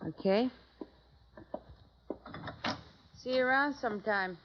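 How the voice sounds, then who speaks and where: An American actress playing a tough, guarded young petty crook in a 1950s radio drama, slangy and flippant. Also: female voice, on the high side, fairly quick, background noise, lightly acted. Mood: flat, casual. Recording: dull vintage radio recording, faint music.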